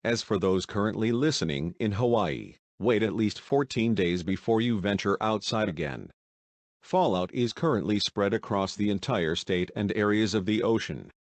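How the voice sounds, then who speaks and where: A man playing a solemn head of state giving a formal, deliberate emergency address to the nation. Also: lowish voice, no echo. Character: solemn male US President addressing the nation in crisis.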